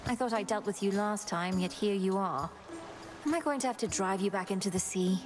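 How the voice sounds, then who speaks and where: A woman's voice: medium pitch, brisk, lightly acted. A woman playing a determined young witch princess in a video game, formal and earnest. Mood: exasperated. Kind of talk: video game character acting. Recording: music behind the voice.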